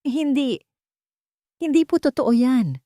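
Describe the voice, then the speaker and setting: A timid young single mother in a romance audio story, played by a female narrator. She is polite and deferential, with a mellow tone and a dry, close sound. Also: high voice, lively intonation, takes it slowly, no echo, pitched above the performer's own voice. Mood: shocked. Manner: shy, deferential. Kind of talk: story character dialogue.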